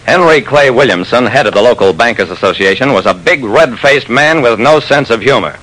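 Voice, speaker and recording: A man tells a hard-boiled detective story in classic radio style. His delivery is wry and dramatic, and his mid-pitched voice swings widely through the lines. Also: lively intonation, a little fast, fairly bright tone, a little hiss or hum, poor audio. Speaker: narrator and lead detective in a radio mystery.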